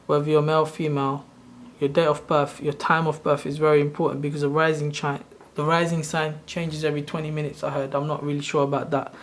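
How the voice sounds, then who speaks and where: Soft-spoken guy in his 30s, kinda rambly, keeps correcting himself, pretty monotone, slightly high voice. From Sri Lanka. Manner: rambling, loose and self-correcting. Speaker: channel host. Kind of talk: casual screen-share walkthrough.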